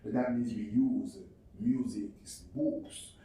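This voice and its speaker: Halting man with a Cameroonian French accent. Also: mid-range voice, fairly even intonation, mellow. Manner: halting, repeats words and restarts often. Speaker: non-profit founder presenting his organization. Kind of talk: spoken presentation. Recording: very echoey room, far from mic, faint noise.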